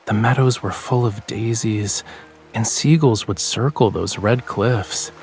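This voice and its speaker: An actor playing a playful young male artist in a romance game, speaking in a soft, languid, teasing way. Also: lowish voice. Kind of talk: romance game character dialogue. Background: background music with guitar.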